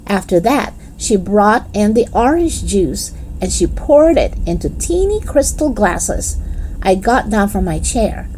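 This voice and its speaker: A woman narrates a children's book expressively in a mellow, soft-edged voice. Her pace is unhurried and her pitch is very animated, with some noise behind her. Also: poor audio. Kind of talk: expressive scripted storybook narration.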